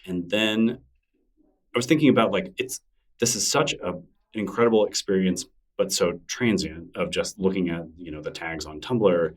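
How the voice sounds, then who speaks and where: A man with a very low voice talks in an easy, enthusiastic way during an interview, often breaking into laughter. He sits a little back from the microphone. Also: normal speed, far from the mic. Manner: chatty, enthusiastic, laughs easily. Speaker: podcast guest, newsletter creator. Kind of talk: casual interview conversation.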